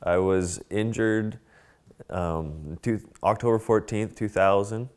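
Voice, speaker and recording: Clear-voiced calm man in his thirties. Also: lowish voice, takes it slowly. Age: 30s. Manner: calm, simple statements. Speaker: interviewee. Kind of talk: documentary interview.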